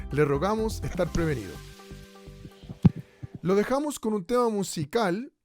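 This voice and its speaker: A man's voice: high voice, darkish tone, full character voice. An actor playing a male radio host of a future broadcast in a radio drama, with an announcer's cadence broken by hesitant fillers.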